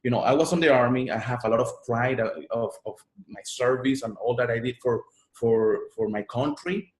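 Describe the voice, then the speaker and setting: A man with a Puerto Rican Spanish accent, whose first language is Spanish, speaks earnestly as an interview guest. His pitch sits in an ordinary middle range, and he often repeats words as he builds each thought. The sound is roomy and echoey. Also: fairly even intonation, normal speed. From Puerto Rico. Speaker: guest, online marketer. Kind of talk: interview storytelling.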